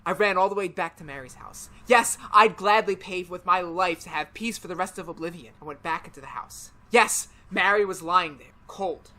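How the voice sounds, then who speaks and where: A man playing a tormented, guilt-ridden murderer in melodramatic, feverish 1930s-style radio horror, swinging from hushed to shouting. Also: sits high, moderate pace, few pauses. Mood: grim, broken. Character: tormented guilt-ridden male murderer hounded by phantom voices.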